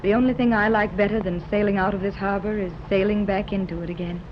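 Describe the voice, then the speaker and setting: An actress playing a gentle, hopeful, motherly lady in a 1930s radio serial, earnest and soothing. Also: medium pitch, steady tone, fast, lightly acted. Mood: pleasant, reassuring. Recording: dull, noisy old radio recording.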